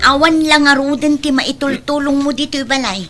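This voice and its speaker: A woman's voice: sits high, fairly even intonation, normal speed, a bit bright, faint music behind. A woman with an Ilocano Filipino accent playing a dutiful, long-suffering adult daughter in an audio drama, earnest and pleading. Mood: reproachful.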